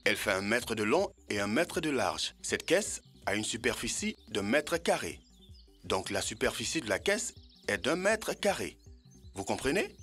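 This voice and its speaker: A man voicing a patient, genial animal teacher with a husky voice in a French children's cartoon. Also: mid-range voice, sing-song, moderate pace, a bit bright, faint music behind. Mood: genial, instructive.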